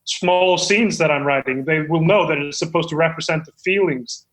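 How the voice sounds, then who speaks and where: A man playing an earnest, defensive amateur screenwriter who rambles haltingly through an improvised comedy table read. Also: fairly high pitch, a bit bright, roomy sound, natural voice, poor audio. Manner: halting, rambling, self-justifying. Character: earnest, defensive amateur screenwriter presenting his own script. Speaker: performer on a comedy podcast.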